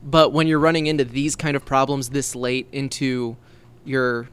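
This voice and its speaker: A man's voice: mid-range voice, level tone, moderate pace, clear, resonant tone. A man co-hosting a casual podcast chat, talking in a loose way with many restarts. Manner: chatty, restarting sentences. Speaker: co-host.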